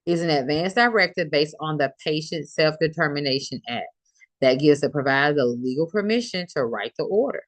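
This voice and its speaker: An instructor with a mid-pitched voice delivers an informal classroom-style lecture. The delivery is explanatory, circling back to restate key points in other words. The sound is dry, with very little room echo. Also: fairly quick. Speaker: instructor lecturing healthcare students.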